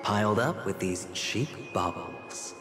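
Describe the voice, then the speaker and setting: Cool, wry young gambler, unhurried with expressive pitch, game drama over loud music. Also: male voice. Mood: cool, defiant. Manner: measured, wry, guarded. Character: weary, sly young gambler with cool composure. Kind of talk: video game drama dialogue.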